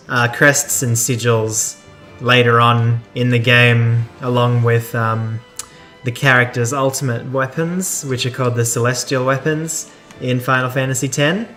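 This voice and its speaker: A man's voice: mid-range voice, fairly bright tone. Male gamer, informal and explanatory let's play commentary, with music underneath. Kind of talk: casual gameplay commentary.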